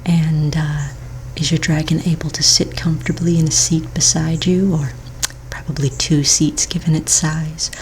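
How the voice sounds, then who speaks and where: A woman speaking in a soft, breathy near-whisper, playing a courteous, patient office manager in a gentle ASMR roleplay. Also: low-pitched, flat delivery, moderate pace, a little hiss or hum, poor audio. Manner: gentle, polite, reassuring clerical patter.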